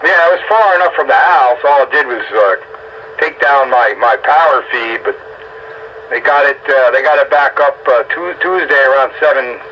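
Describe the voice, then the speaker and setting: A man's voice: medium pitch, normal speed. A man chatting casually over two-way radio in a folksy, informal way. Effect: two-way radio transmission. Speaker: amateur radio net participant. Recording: muffled, lightly noisy ham radio transmission.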